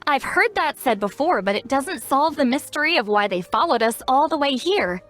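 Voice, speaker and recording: A woman's voice: high-pitched, expressive, moderate pace, fairly bright tone, soft background music. An actress playing a cocky, blunt young female shaman in a video game, speaking in a self-assured, brusque, explanatory way. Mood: wary, pondering. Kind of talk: animated video game voice acting.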